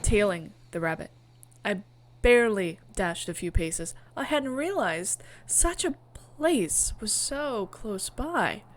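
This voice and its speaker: A woman streamer reading a visual novel aloud with theatrical, expressive narration and casual asides. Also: medium pitch, slow pace. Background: music.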